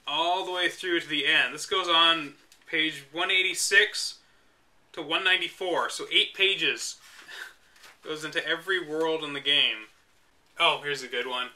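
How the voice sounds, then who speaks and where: A man with a high, very bright voice reads from a book, stopping often for sardonic, exasperated remarks. His asides are dry and mocking. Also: steady tone, measured pace. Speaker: reader and commentator. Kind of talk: reading a book aloud with sarcastic commentary.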